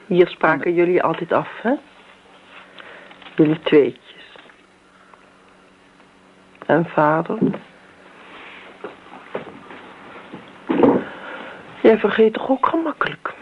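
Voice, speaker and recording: An actress playing a bitter grown daughter in a Dutch radio play, dry, sarcastic and coolly needling. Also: female voice, lowish voice, lively intonation, slow pace, long pauses, husky, exaggerated voice. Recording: noisy, narrow-band old radio recording.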